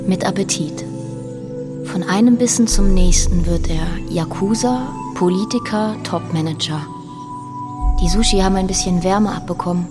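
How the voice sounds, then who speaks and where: An actress playing an intense, defiant woman who narrates a literary radio drama in a deliberate, dramatic way. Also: medium pitch, music in the background, lightly acted.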